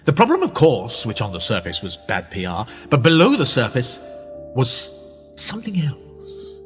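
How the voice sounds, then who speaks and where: Male actor as smug powerful insider, pedantic, condescending, animated pitch, radio drama, long reverb over music. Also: moderate pace. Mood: dry storytelling.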